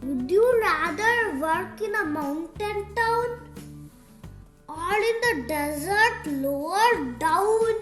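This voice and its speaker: A young girl reading a rhyming picture book aloud in a deliberate, sing-song storytime style. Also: very high voice, expressive, fairly bright tone. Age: child. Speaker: young reader of picture books. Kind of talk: read-aloud storytime narration of rhyming verse. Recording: roomy echo, music playing behind. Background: music.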